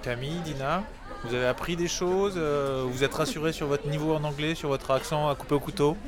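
Man, school radio host, fast talker, slightly high voice, crowd behind. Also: fairly even intonation. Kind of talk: casual interview. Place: ferry deck outdoors.

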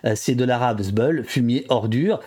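A man's voice: medium pitch, normal speed, a little mellow, husky. A man hosting a casual online panel, talking with many fillers and restarts. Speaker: livestream host.

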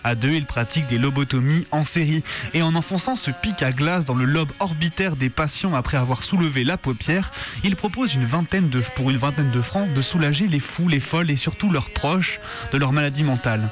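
A French man presents on the radio in a mid-to-high register. He talks into a studio microphone, with music playing softly behind him. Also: brisk. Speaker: radio host. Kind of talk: live radio chat, then reading a documentary script.

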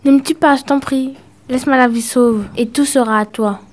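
A woman's voice: sits high, fairly even intonation, moderate pace, natural voice. A teenage girl playing a sorceress in a school audio drama. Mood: pleading.

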